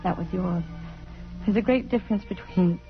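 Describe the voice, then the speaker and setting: An actress playing a wistful, self-sacrificing lover in a 1950s radio drama, speaking in a measured, earnest way. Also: female voice, fairly low pitch, lightly acted. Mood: resigned, tender. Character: wistful, self-sacrificing woman in a doomed love affair. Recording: dull vintage radio, music behind.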